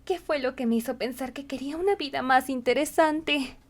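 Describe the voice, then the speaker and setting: A woman's voice: sits high, brisk, voice pushed up. A woman voicing a timid, easily frightened young woman in a storybook, flustered and apologetic. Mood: anxious.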